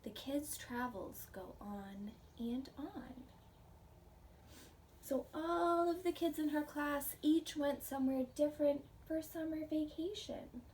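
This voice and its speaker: American female preschool teacher for story time, soft mellow tone, gentle and unhurried, recorded at a distance. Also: high voice. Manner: gentle, child-directed, asks the listeners questions. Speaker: pre-K teacher. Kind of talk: children's picture book read-aloud with simple explanations. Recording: distant mic, faint background noise.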